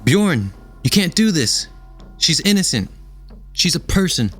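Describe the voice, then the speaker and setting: Man acting compassionate spaceship passenger, highish husky voice, emotional pleading, sci-fi radio drama. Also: sing-song, unhurried, fairly bright tone, faint music behind, exaggerated voice. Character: earnest, protesting male spaceship passenger, compassionate.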